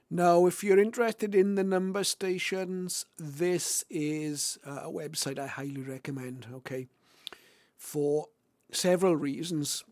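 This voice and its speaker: A man's voice: fairly high pitch, a little mellow. A middle-aged or older man presenting an informal screen tutorial, explaining step by step in a loosely phrased, hesitant way. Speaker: presenter of a shortwave radio tutorial. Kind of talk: informal tutorial commentary over a website demo.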